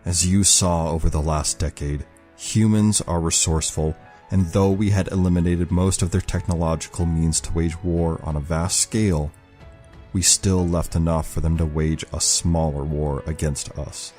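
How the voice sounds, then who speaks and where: Man as solemn male commander, deep voice, oratorical, resolute temper, audiobook story, quiet music behind. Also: fairly even intonation, normal speed, lightly acted. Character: grave, commanding male rebel leader with powers.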